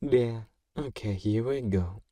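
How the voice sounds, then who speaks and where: A genteel, possessive male vampire, played by a man in an ASMR roleplay. His voice is fairly low, dark and relaxed, and he coaxes and soothes with doting politeness. The sound is very dry and intimate, with no room echo. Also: slow. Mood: soft, attentive.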